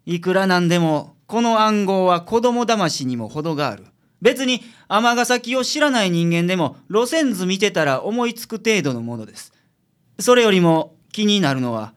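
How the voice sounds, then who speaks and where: A man's voice: high-pitched, lively intonation, normal speed. A man playing a shrewd, blunt, laid-back male private detective with dry, probing delivery in a radio drama.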